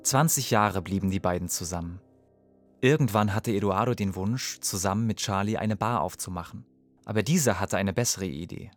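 A man narrating a documentary voice-over in a calm, measured way. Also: fairly low pitch, soft background music.

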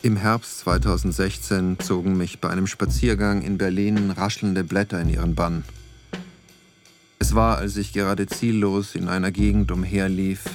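Low-voiced reflective man. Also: level tone, faint music behind. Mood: contemplative. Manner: contemplative, measured. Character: reflective male photographer narrating his own searching wanderings. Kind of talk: literary radio play monologue.

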